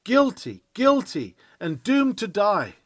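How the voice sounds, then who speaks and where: A man voicing clamouring sins that accuse and condemn a sinner in a sermon, loud and declamatory. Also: high voice, huge pitch swings, slow, dry recording, clean studio sound, voice pushed up, exaggerated voice. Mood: howling condemnation.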